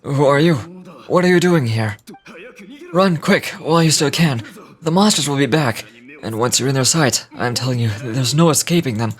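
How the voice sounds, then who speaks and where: Man acting a desperate soldier, mid-pitched. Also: close to an everyday voice. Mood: desperate, urgent. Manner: urgent, halting, fearful. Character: desperate, dutiful male soldier. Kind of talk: video game dramatic dialogue.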